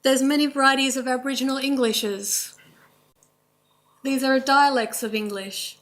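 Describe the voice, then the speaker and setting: A woman with an Australian accent giving a formal, measured presentation in a courtroom, speaking in short listed phrases. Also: sits high, steady tone, a bit bright, poor audio. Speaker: language presenter at a court inquest.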